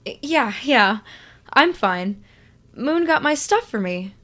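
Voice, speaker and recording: A woman's voice: high voice, sing-song, slow pace, sharp tone, heavily acted. A woman playing a friendly, awkward and nervous roller rink worker who speaks in an earnest, hesitant way. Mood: reassuring.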